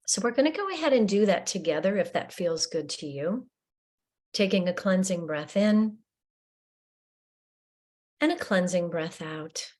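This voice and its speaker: A woman leading a guided breathing meditation in a slow, gentle, soothing way with long pauses. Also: on the low side, lively intonation.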